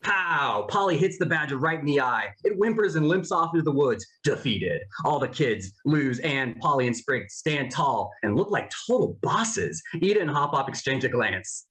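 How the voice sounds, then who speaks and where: Lively narrator of cartoon stage directions. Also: mid-range voice, moderate pace, few pauses. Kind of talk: scripted narration of stage directions at table read.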